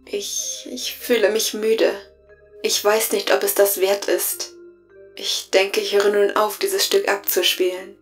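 Woman as weary artificial intelligence, female, resigned, halting, narrow intonation, audio drama, soft music bed. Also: mid-range voice, little pitch movement, close to an everyday voice.

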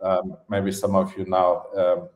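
A man with a German accent telling his story casually as a podcast guest, in halting phrases with repeated words. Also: low voice, monotone, a little mellow. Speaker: podcast guest, events entrepreneur. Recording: roomy, echoing podcast audio.

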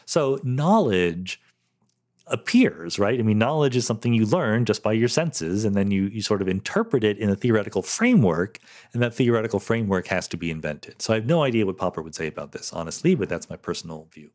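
A man's voice: fairly low pitch, sing-song, brisk, a little mellow. A middle-aged man hosting a solo podcast, answering listener questions candidly with fillers like "um" and "you know". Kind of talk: solo podcast Q&A, thinking aloud.

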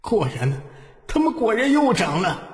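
A man voicing an excitable, self-pitying middle-aged male grain shop clerk in an audiobook, wailing and exclaiming. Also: high voice, moderate pace, reverberant, long reverb tail, voice pushed up. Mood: wailing grief.

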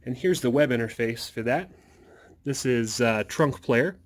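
A man's voice: mid-range voice, a little slow, slight background noise. A man presenting his hobby project in a casual, hands-on tech walkthrough, explaining things informally into a handheld phone. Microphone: handheld phone behind the camera.

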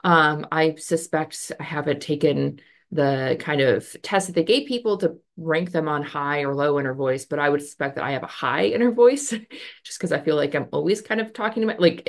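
A woman's voice: low voice, a little fast. A woman in her thirties explaining a psychology study in a casual lecture over a video call, talking with many fillers and hand gestures. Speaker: educator explaining research. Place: home office with bookshelf. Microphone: webcam on a video call.

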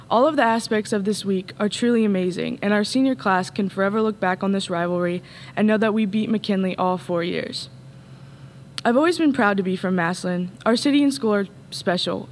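Teen girl, student speaker, earnest read-through at a podium mic, faint rumble. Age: late teens. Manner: earnest, reading from notes. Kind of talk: read graduation speech. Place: empty school auditorium, at a podium.

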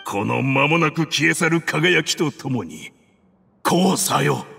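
A man's voice: a little high, animated, slow pace, gravelly, pushed and bright, soft background music, heavily acted. A man playing a proud, defiant leader of desert mercenaries in an anime game, with a theatrical, commanding delivery. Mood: grim, resigned. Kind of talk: dramatic anime game voice acting.

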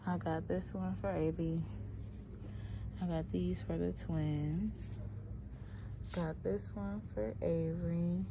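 A woman's voice: on the low side, fairly even intonation, slow, gravelly, pauses often, noisy background. A woman in her thirties vlogging a shopping trip, talking half to herself as she browses a big store on a handheld phone. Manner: muttering to herself while browsing. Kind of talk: casual shop-along commentary. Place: indoors in a large store. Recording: loud store ambience and crinkling packages.

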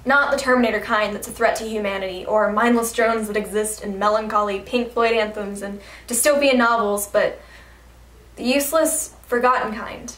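A woman reciting slam poetry in a dramatic, deliberate, rhythmic delivery. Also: on the high side, fairly even intonation, a bit bright. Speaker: slam poet. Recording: echoey room, distant mic.

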